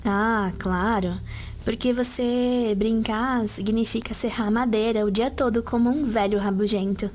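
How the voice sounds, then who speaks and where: High-voiced sarcastic vampire girl played by a woman. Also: steady tone, a little slow, a little mellow, soft background music, natural voice. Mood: sarcastic, bickering. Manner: sarcastic, mocking. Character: teasing young female vampire, sarcastic sister.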